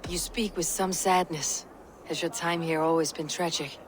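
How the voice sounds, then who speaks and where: A steely, pragmatic Viking warrior woman played by an actress in a game cutscene. Her voice sits low and she speaks in measured, formal phrases. There is faint ambient noise behind her. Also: steady tone, natural voice. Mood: measured, curious. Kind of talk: video game cutscene acting.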